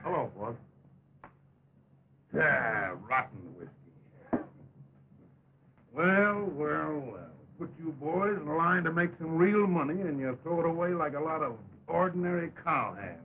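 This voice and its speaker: Breezy cowhand, very lively pitch. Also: male voice, measured pace, pauses often. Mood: joshing. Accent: American. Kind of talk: early talkie Western film acting. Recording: tinny, noisy 1929 film soundtrack.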